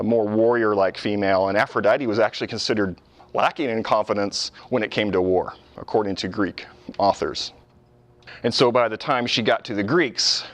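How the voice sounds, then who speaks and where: A man giving an informal, conversational lecture on ancient history, explaining as he goes and stressing key words. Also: medium pitch. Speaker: history lecturer, channel host.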